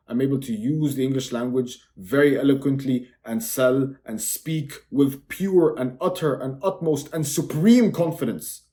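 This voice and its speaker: A young man from Dubai, a native Arabic speaker, pitches his online course straight to camera. He sounds brash and self-assured and keeps repeating words to hammer his points home. His voice has a mellow, darker tone. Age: young adult. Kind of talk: boastful sales pitch to camera.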